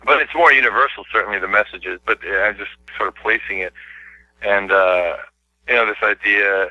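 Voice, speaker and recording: A man from the United States, a poet guest in a casual interview, talking in a reflective, hesitant way with slow pauses. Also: lowish voice, bright, forceful tone, no room sound. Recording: dry, dull lo-fi radio audio.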